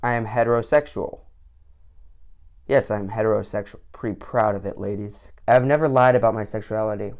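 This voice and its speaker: A man's voice: on the low side, steady tone, brisk, a little mellow. A teenage boy giving sarcastic commentary on an online quiz, with mock-indignant outbursts. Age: late teens. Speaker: vlogger.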